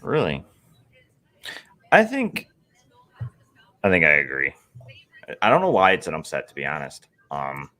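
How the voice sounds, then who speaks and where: Very deep-voiced man losing his voice. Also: a bit bright. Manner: raspy and strained, clearing his throat. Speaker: podcast co-host. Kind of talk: casual sports chat.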